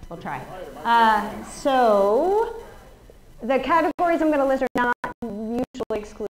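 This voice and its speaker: A woman statistician giving a lively talk to a crowd without a working microphone, speaking energetically with many fillers and questions to the audience. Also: a little high, unhurried, poor audio. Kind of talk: conference talk. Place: large open office event space. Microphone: no working mic, room pickup from a far camera.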